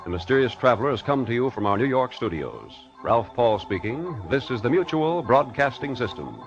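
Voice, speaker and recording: A man's voice: medium pitch, sing-song, normal speed. An American man announcing formally in the style of 1940s network radio. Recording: muffled 1940s radio recording, faint music.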